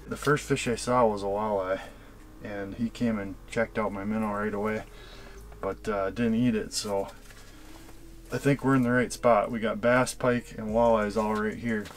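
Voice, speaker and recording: A man vlogging his ice fishing trip in a shack on a frozen lake, excited and chatty, talking to the fish he sees on camera. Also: fairly low pitch, normal speed. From Wisconsin. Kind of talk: casual outdoor fishing commentary.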